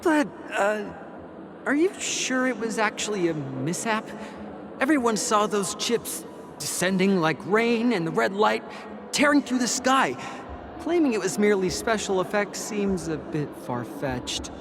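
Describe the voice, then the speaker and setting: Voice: medium pitch, sing-song, a little slow, music in the background. An actor playing an ordinary, skeptical guest in a video game, hesitant and doubtful in manner. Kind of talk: scripted video game dialogue.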